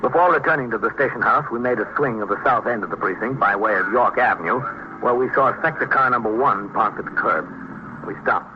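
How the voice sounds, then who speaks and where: An actor playing a steady American police captain who narrates and talks in a measured, matter-of-fact way in an old radio drama. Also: male voice, mid-range voice, close to an everyday voice. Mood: measured, factual. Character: steady, businesslike male police captain, narrating his case. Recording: noisy, dull vintage radio recording.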